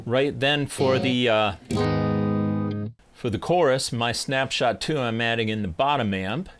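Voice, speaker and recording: A man in his forties hosting a casual guitar gear tutorial from his home music studio, chatty and self-deprecating, thinking aloud with fillers. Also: a little slow. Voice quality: deep bass singing voice. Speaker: guitar channel host.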